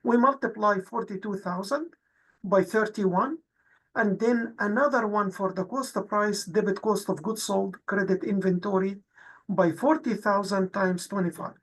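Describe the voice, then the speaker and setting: A woman instructor with a husky voice, explaining worked exercises methodically in a tutorial narration. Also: medium pitch, a little slow, rough recording. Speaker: accounting instructor.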